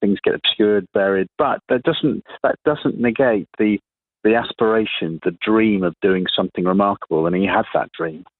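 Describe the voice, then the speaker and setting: A man being interviewed on the radio, talking reflectively and haltingly, often rephrasing as he goes. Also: lowish voice, expressive, no room sound. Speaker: interview guest, playwright. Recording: narrow, phone-like radio sound.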